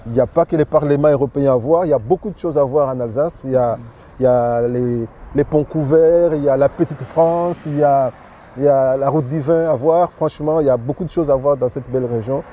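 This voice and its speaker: A man's voice: mid-range voice, a little fast, dull audio. A man answering interview questions as the president of a church association, hesitating and repeating words.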